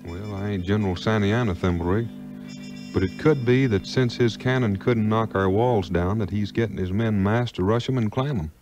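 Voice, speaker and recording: Casting: male actor for calm, plainspoken backwoods hero, lowish Southern drawl, vintage 1950s record drama. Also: music in the background, lightly acted. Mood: assured, wry. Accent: American Southern frontier drawl. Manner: unhurried, plainspoken, homespun. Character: calm, folksy, steadfast frontier hero. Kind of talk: 1950s audio play acting. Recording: 1950s vinyl record audio play.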